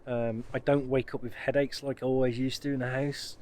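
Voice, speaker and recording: A man's voice: mid-range voice, flat delivery, fairly quick, a little mellow, slight background noise. An English man from the UK talking casually to camera as a van life vlogger, rambling and upbeat with frequent ums and restarts. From United Kingdom. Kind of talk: casual vlog talk to camera.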